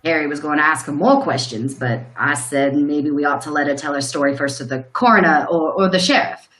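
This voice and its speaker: A woman playing a plain rural farmer in a live radio play, telling a grim story in a halting, folksy way. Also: deep voice, fairly bright tone, low-quality recording. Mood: uneasy recounting.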